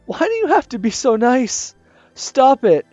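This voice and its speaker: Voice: sits high, big pitch swings, measured pace, darkish tone, dry recording, highs cut off, soft background music, pitched above the performer's own voice. A gamer reading a visual novel aloud, voicing a shy teenage schoolgirl who pleads with a wavering voice. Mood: distraught.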